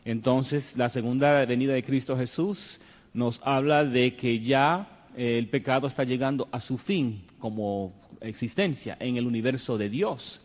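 A man's voice: medium pitch, unhurried. A man hosting a religious TV panel discussion, courteous and hesitant, with many fillers. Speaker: program host and panel moderator. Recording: long hall reverb.

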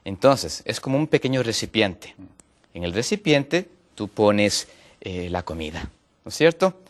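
Male guest from Ecuador with a lowish voice, hesitant and filler-heavy, pitch rising when he makes points. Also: very animated, a little slow. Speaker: guest, natural medicine health counselor. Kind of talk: explaining on a TV talk show.

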